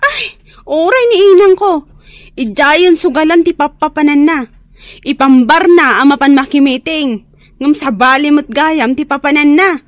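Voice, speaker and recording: A woman's voice: high voice, measured pace, no room sound, studio quality. A woman playing an indignant young single neighbour with an Ilocano accent in a comedy radio drama.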